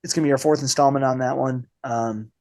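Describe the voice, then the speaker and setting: A man hosting a casual film podcast, speaking informally and haltingly with a slight cold in his voice. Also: mid-range voice, fairly even intonation, darkish tone. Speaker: podcast host.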